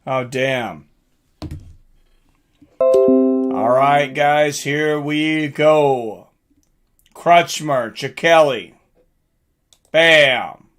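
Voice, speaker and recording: A man hosts a casual live fight companion stream in a forceful, bright voice that sits a little high. He takes his time, speaking slowly and informally. He addresses his viewers directly and often clears his throat. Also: faint music behind. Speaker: host of a live fight watch-along stream. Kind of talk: casual live commentary, reading out and answering chat.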